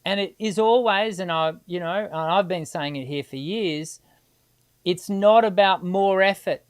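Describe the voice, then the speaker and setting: A woman's voice: on the low side, measured pace. A middle-aged woman, hosting a live singing Q&A and answering viewers in a chatty, encouraging way. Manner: chatty and encouraging, many restarts and filler ums.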